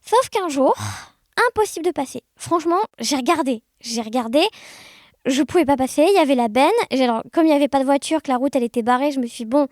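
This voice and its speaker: A woman chatting casually as an interview guest, telling anecdotes in an animated, conversational way. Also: sits high, quick pace, no echo, clean studio sound. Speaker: podcast guest, blind guide dog user sharing experiences.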